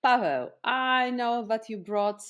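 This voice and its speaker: A woman's voice: on the high side, slow pace, a bit bright, smooth. A woman with a Polish accent hosting a conversational interview in a friendly, playful way. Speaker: podcast host.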